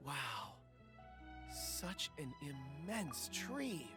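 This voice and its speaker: A man's voice: on the high side, sing-song, drawn-out words, music in the background, heavily acted. A man voicing an earnest, polite and hesitant young male stone expert in an anime video game. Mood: curious.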